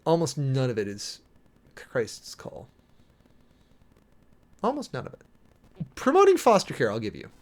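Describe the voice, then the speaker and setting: A man's voice: mid-range voice, huge pitch swings, a little slow, long pauses. A man giving casual, opinionated livestream commentary as the host, informal and emphatic, often repeating phrases for stress. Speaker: live streamer hosting a political commentary show.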